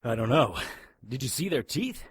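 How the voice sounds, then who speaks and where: An actor playing a shaken but protective hero in an audio drama, hushed and reassuring. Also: male voice, mid-range voice, sing-song, moderate pace, husky, no echo. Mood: tense, protective.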